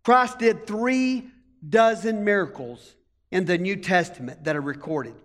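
Country-accent guy preaching slow and folksy, pretty high voice, big echoey church hall. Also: a bit bright. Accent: American country. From rural United States. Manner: folksy, storytelling preacher asking the congregation to respond. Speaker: pastor. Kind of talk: sermon.

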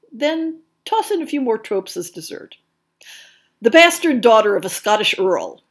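A woman hosting a podcast, reading scripted narration in a measured, articulate and expressive way. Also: fairly high pitch. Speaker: podcast host. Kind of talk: scripted narration, reading book listings and blurbs.

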